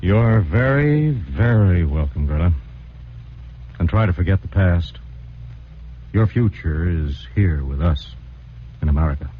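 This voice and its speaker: A deep, solemn and reassuring male editor, kindly and dignified. The sound is a tinny, narrow old radio broadcast with faint hiss. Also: animated, measured pace, long pauses. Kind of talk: 1940s radio acting.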